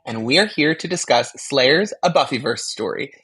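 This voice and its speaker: A man with a medium-pitched voice co-hosts a casual podcast. He talks in an emphatic, jokey way with a touch of camp. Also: expressive, normal speed, a bit bright, slightly rough. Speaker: podcast co-host.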